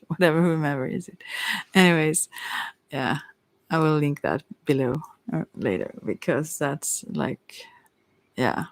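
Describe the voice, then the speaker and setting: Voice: mid-range voice, unhurried, long pauses, no room sound. Rambling, hesitant tarot reader, dry close sound. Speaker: tarot reader on a live stream. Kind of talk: casual improvised tarot reading chat.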